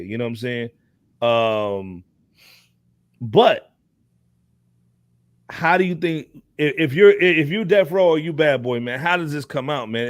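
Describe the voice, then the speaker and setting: A man's voice: mid-range voice, no echo, studio quality. An American man hosting a podcast, chatting casually about hip hop in slangy, conversational speech. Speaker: podcast host.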